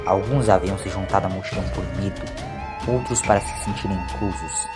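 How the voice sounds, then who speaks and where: A man's voice: low voice, fairly quick, loud music behind the voice. A man narrating a scripted audio story in a dramatic storytelling style.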